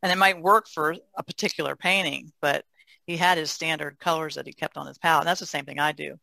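A woman's voice: deep voice, fairly even intonation, fast, creaky, pushed and bright, no echo, rough recording. An older woman, a painter, casually explaining her craft in conversation. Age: older, about 60s. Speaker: painter, podcast guest.

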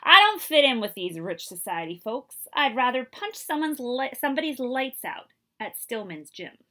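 A defiant storybook tomboy, acted by a woman reader, grumbles with a blunt whine. The pitch jumps about with hot temper. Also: very animated, moderate pace, pushed and bright, full character voice.

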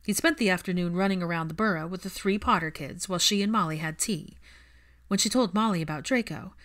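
A woman narrating fan fiction as scripted audiobook storytelling. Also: fairly low pitch.

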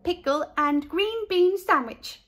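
Woman playing a high-voiced proud little girl. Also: expressive, slow pace, a bit bright, heavily acted. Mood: proud, friendly. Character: cheerful proud little girl who likes being different.

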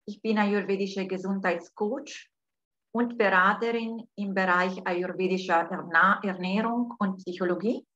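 Slow Italian-accented woman hosting online. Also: medium pitch, fairly even intonation, fairly bright tone. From Italy. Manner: slow, hesitant with many fillers. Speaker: host. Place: home room, indoors. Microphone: video call. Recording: dull lo-fi video call audio.

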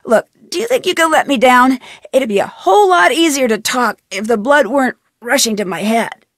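A woman's voice: sits high, animated, normal speed, dry recording, clean studio sound. An actress playing an inquisitive, polite young woman detective in an adventure game, speaking earnestly. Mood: strained, pleading. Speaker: video game lead character. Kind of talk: scripted adventure game dialogue.

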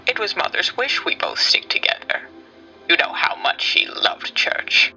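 A man's voice: unhurried, sharp tone, soft background music, rough recording. A man voicing a sinister, suave male demon who talks in a theatrical, mocking way with honeyed menace. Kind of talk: fan comic dub.

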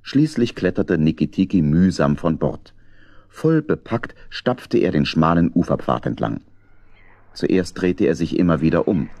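Deep, husky male storyteller. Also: dry recording. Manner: expressive, measured storytelling. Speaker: narrator. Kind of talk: scripted children's story narration.

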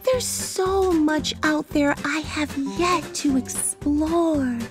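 Casting: woman plays storybook princess, little girl, curious restless dreamer, high voice, eager. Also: slow pace, music in the background. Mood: wistful, restless. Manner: wistful, eager.

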